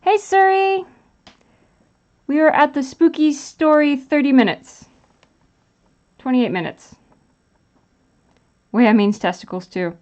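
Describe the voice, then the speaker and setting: A woman's voice: high voice, slow, long pauses, a little mellow. A woman hosting a live stream, chatting casually and reading out and answering the chat. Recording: dull lo-fi sound.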